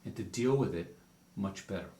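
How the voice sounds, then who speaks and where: A man, a speech therapist, asking gentle, encouraging questions during a session. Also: deep voice, normal speed, reverberant.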